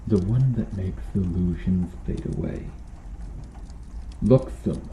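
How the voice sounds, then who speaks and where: A cruel medieval knight played by a man, low in pitch and very slow in delivery. He is brooding, vengeful and menacing, speaking in formal, old-fashioned phrases as a dramatic ASMR roleplay. The sound is notably roomy. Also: dark tone. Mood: grave, explanatory. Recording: muffled, roomy sound with background noise.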